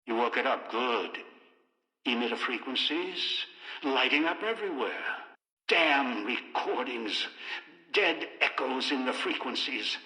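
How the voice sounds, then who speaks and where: A man's voice: medium pitch, animated, measured pace, pushed and bright. A bitter, obsessive old male schemer from a video game. His voice is hard and piercing, coming through speakers with long hall reverb. He issues terse, menacing orders as if used to being obeyed. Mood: calculating, terse. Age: older. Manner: terse, imperious, ominous, clipped orders. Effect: voice over radio and speakers.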